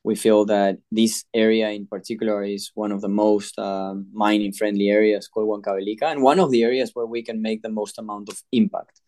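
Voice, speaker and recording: A man speaks in an even, level tone with little melody. He talks in measured phrases broken by many filler pauses. The recording is close and dry. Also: on the low side, no echo. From Peru. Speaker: mining company chairman. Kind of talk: podcast interview.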